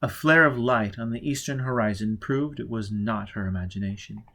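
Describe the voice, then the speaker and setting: A man reading a novel aloud as audiobook narration, with measured, dramatic pacing and rhythmic pauses. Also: on the low side, bright, forceful tone. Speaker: audiobook reader.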